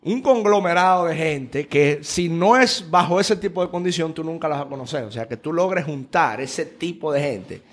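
A man's voice: fairly high pitch, animated, moderate pace, hardly any pauses. A young adult man with a Dominican accent, telling stories conversationally as an interview guest. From Dominican Republic. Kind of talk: casual conversational storytelling.

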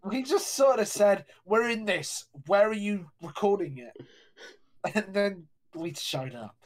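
A man's voice: high-pitched, a little slow. A young man co-hosting a casual chat podcast, jokey and giggly as he swaps anecdotes. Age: young adult. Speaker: podcast co-host.

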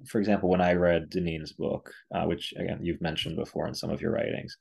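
A man's voice: low voice, level tone, fairly quick, creaky, a little mellow, husky. A man hosting an interview, asking questions in a searching way with many fillers. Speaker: interviewer, host.

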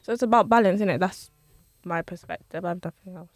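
A woman's voice: lowish voice, fast, no room sound, faint music behind. A twenty-year-old woman co-hosting a podcast in casual chat, easygoing and joking. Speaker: podcast co-host.

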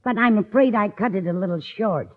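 A woman's voice: mid-range voice, close to an everyday voice. An actress playing a sweet, dithery older landlady posing as a maid in a 1950s American radio sitcom. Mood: coy. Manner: slow, fluttery, sweet. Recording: dull old radio recording, audience behind.

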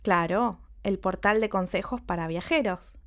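A woman's voice: medium pitch, measured pace, lightly acted. A woman voicing a friendly, kind young male tennis player from Spain in an audio story.

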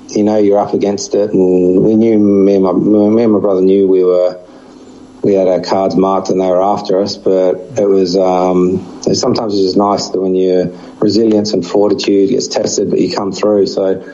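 A man's voice: sits low, mellow. A man in his forties, from Australia, with a blend of Australian and English accent, giving frank, reflective interview answers as a former cricketer. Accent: mix of Australian and English. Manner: frank, reflective, with a wry laugh. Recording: dull lo-fi audio.

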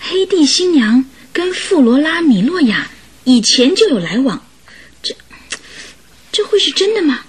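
An actress playing a curious, chatty, teasing wife in a radio detective drama, exclamatory and inquisitive. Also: female voice, sits high, sing-song, unhurried, pauses often. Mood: startled, skeptical.

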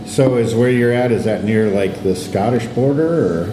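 A man's voice: medium pitch, normal speed, noisy background. A man hosting a podcast and interviewing a guest, talking casually with many fillers. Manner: laid-back, lots of fillers, asks short follow-up questions. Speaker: podcast host and sign painter. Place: bar.

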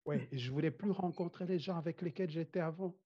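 A man's voice: a little high, a little fast. A man from Rwanda who grew up speaking Kinyarwanda, talking casually as an interview guest, hesitant, with many restarts and frequent laughs. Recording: dull lo-fi sound.

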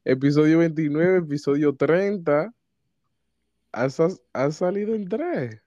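A man's voice: a little high, lively intonation, unhurried, dark tone, no echo, highs cut off. A man with a Dominican Spanish accent hosting a podcast, chatting casually and teasingly, slipping between Spanish and English. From Dominican Republic. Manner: teasing, jokey, mixes in English. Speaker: podcast host. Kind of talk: casual bilingual chat.

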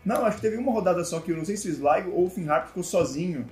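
A man's voice: sits high, expressive, rapid-fire, a little mellow, soft background music. A man from Brazil co-hosting a casual football podcast, talking with enthusiasm and many fillers. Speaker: podcast co-host. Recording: echoey room.

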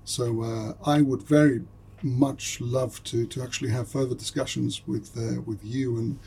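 A man's voice: mid-range voice, steady tone, unhurried, vocal fry, warm tone, a little hiss or hum. A man whose first language is German, speaking English in a hesitant way with many fillers, chatting with colleagues in an academic meeting. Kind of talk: casual academic discussion.

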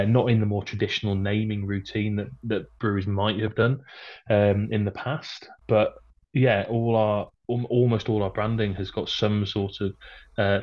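Podcast guest, Welshman from the north, deep-ish voice, talks in loose restarts over a muffled recording. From North Wales. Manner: rambling, frequent restarts and fillers. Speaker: brewery guest. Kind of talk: casual podcast chat. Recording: dull lo-fi sound.

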